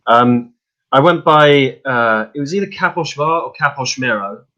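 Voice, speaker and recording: Man, casual podcast talk, rambling and loose, bright tone, slightly muffled lo-fi sound. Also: mid-range voice, normal speed. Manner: relaxed, rambling, with many fillers and restarts.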